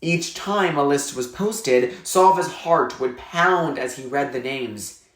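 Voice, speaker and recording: A man's voice: mid-range voice, takes it slowly, fairly bright tone. A man narrating a story in a scripted read-aloud style. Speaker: reader reading a novel aloud. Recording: echoey room.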